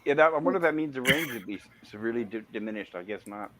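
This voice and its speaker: A man's voice: medium pitch, lively intonation, racing, crowd noise. A man hosting a casual livestream review, chatting and reading out comments.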